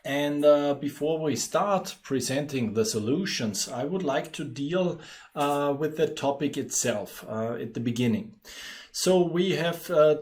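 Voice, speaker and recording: A native German-speaking man with an Austrian accent, hosting a webinar and explaining things in a measured way. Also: medium pitch, a little mellow. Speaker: webinar host, company presenter. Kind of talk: webinar presentation.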